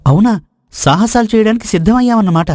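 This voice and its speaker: A man acts out a prince's companion in a storybook drama. He is a knowing, eager tale-teller with a notably high male voice, recorded dry and close. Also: animated, fast talker, a little mellow, no room sound. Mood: intriguing, explanatory. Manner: explanatory storytelling. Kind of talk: dramatized moral story dialogue.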